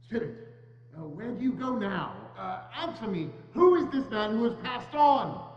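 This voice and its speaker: An old Victorian miser played by a man, full of fear and remorse, pleads in a high, swooping voice with halting theatrical phrases. It is a staged reading in a reverberant hall with a dull, humming sound. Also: sing-song. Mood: grieving, anxious. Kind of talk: dramatic reading of a Victorian classic. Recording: echoey church hall, dull, humming noise.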